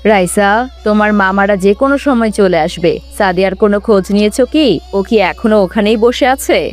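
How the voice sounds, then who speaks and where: A woman's voice: medium pitch, a little fast, darkish tone, faint music behind, lightly acted. A woman voicing a tender, worried mother in a cartoon. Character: tender, worried mother consoling her daughter. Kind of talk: cartoon dialogue.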